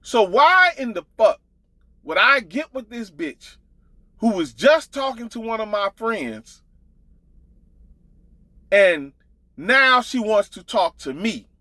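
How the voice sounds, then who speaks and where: A man's voice: high-pitched, very animated, slow pace, long pauses, bright, forceful tone, no room sound, low-quality recording. A man telling a casual gossip story as an online commentator, blunt, profane and exasperated, driving his points home with emphatic repetition.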